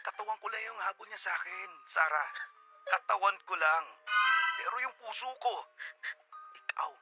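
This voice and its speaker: A man's voice: high-pitched, normal speed, over loud music. A man with a Filipino accent playing a defensive, pleading young boyfriend in a melodramatic Tagalog radio drama. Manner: melodramatic, emphatic.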